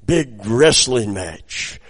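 Raspy preacher with a lively delivery. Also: male voice, medium pitch, drawn-out words. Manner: swings between quiet storytelling and loud exclamations. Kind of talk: sermon with personal anecdotes.

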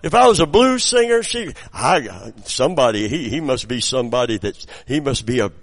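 A middle-aged man preaching a sermon, his voice raspy from preaching, moving between quiet storytelling and loud exclamations. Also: mid-range voice, sing-song, normal speed. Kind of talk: sermon with personal anecdotes.

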